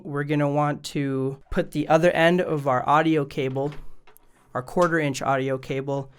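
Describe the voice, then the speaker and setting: A man with a fairly high, clean and smooth voice talks through a casual how-to video. He explains things step by step in an easygoing, conversational way, speaking straight to his viewers. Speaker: music gear video maker and presenter.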